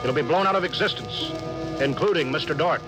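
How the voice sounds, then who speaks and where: An actor playing a stern, authoritative starship captain in a 1950s radio drama, speaking in a clipped, commanding way. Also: male voice, a little high, a little fast, over loud music, lightly acted. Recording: noisy old 1950s radio broadcast.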